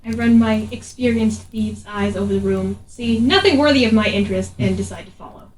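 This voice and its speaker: A woman's voice: mid-range voice, brisk, distant mic. A woman playing a tabletop game, bantering casually in and out of character. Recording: echoey room, off mic.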